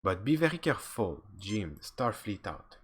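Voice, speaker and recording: A man's voice: lowish voice, sing-song, normal speed, husky. A man doing a grave, subdued fleet admiral. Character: grave male fleet admiral bringing bad news.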